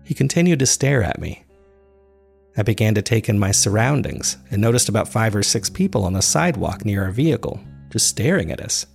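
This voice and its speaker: A man narrates a dramatic first-person story in a measured way. His voice sits low with a gravelly fry at the edges, and soft music plays underneath. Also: lively intonation. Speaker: narrator of a serial fiction podcast.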